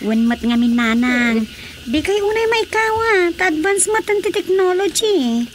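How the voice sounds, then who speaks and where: A woman's voice: extremely high pitch, animated, unhurried, a little hiss or hum. A woman with an Ilocano Filipino accent, acting a sassy, scolding young woman in a radio comedy drama. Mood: chatty, concerned.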